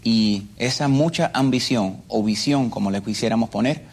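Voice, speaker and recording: A man's voice: medium pitch, normal speed, slightly rough. A Cuban man with a Cuban Spanish accent, a guest earnestly telling his personal story and often repeating his phrases. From Cuba. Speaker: interview guest. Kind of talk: personal testimony.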